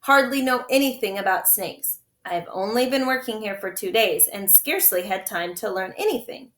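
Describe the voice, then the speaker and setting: A woman voicing a glib, scheming male villain in a storybook, quick to excuse himself. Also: mid-range voice, lively intonation, fairly bright tone, roomy sound, natural voice.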